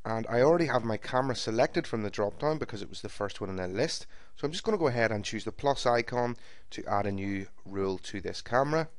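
A man giving a screen-recorded software tutorial, explaining each step in a steady, methodical way. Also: lowish voice, fast, no echo. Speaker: software trainer. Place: voice-over recorded for a screen capture.

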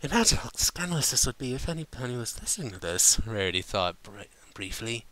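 A man reading fan fiction as an expressive, dramatic storybook narrator. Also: mid-range voice, brisk.

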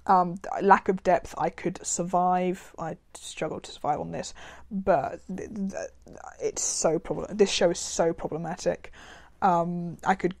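A woman giving a casual spoken review, her voice slightly raspy from a cold, rambling with many fillers and restarts. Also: on the low side, fairly even intonation, measured pace, darkish tone. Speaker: video reviewer.

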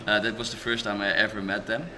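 Young male podcaster, halting and filler-heavy, flat low delivery, noisy conference hall. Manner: halting, many fillers and restarts. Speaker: skeptic podcaster. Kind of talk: casual interview at a conference. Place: large hotel hall at a conference.

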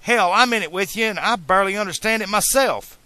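A man voicing an earnest, excitable teenage boy in an audiobook, talking in an emphatic, colloquial, pleading way. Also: a little high, a little slow, no room sound, voice pushed up. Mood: exasperated. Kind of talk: audiobook character dialogue.

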